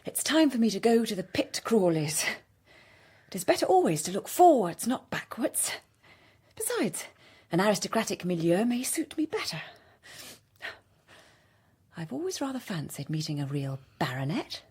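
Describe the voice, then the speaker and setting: An actress playing a sly, ambitious young governess in a radio period drama, polite and pointed, with a husky voice. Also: female voice, mid-range voice, very animated, normal speed, a little mellow. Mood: bitter, resolute. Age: young adult.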